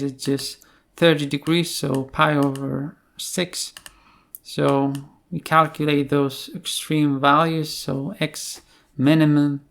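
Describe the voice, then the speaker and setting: Slow, halting man with a creaky voice. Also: on the high side. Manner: halting, hesitant delivery with many filled pauses. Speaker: programming tutorial presenter. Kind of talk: screen-share tutorial, unscripted explanation.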